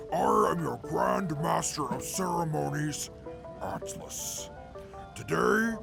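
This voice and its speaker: Picture a grandiose robot master of ceremonies in a radio play. The delivery is slow and heavily gravelly, with lively swings in pitch. It is stilted and pompously formal, over clearly audible music. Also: male voice, full character voice. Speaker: interviewer on a radio segment. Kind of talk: audio drama acting, mock radio interview.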